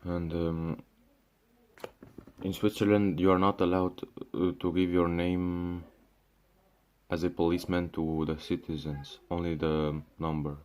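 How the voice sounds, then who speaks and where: A young man from Syria rants to his camera with a very low voice. He talks casually and loosely, tripping over restarts and fillers, dropping swear words and "bro" as he goes. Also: level tone, measured pace, a little mellow, clear, resonant tone. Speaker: vlogger. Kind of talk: casual ranting monologue.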